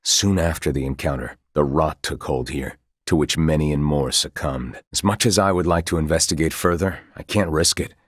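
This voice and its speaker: A grave military commander from a fantasy game. His voice is very low and notably husky, and he speaks with formal, old-fashioned courtesy and a dutiful, measured bearing. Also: no room sound, studio quality. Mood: grave, guarded. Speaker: video game voice actor. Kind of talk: scripted video game dialogue.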